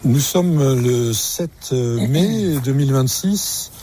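A man reporting for radio from a tournament, introducing and interviewing a guest in a measured way. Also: mid-range voice, animated, mellow, faint music behind.

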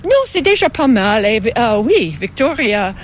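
An older woman from Canada, a native English speaker with an English Canadian accent, answering interview questions in halting second-language speech. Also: fairly high pitch, sing-song, crowd noise. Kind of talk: casual conversational interview.

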